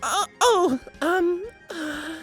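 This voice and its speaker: A woman playing a shy, nervous little creature in a fantasy audio drama, stammering politely and haltingly. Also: very high voice, faint music behind, heavily acted.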